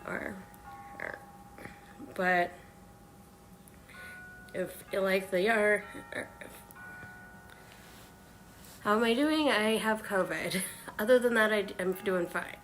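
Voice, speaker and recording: A hoarse woman chatting softly on a live stream while she knits, with many pauses and fillers. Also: mid-range voice, faint music behind, poor audio. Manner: halting, many ums and uhs. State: hoarse from illness. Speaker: knitting livestreamer. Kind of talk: soft-spoken live stream chat.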